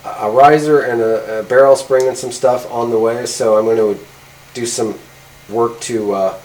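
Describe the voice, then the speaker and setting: A man's voice: medium pitch, steady tone, moderate pace, darkish tone, poor audio. A middle-aged man casually demonstrating gear as a video host, talking in a rambling, hesitant, informal way. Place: indoor garage workshop at a workbench.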